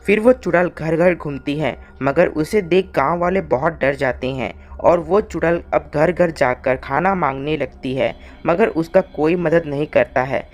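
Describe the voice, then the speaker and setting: A woman's voice: low voice, a little slow, hardly any pauses, a little mellow. A woman narrating a scripted story as its storyteller.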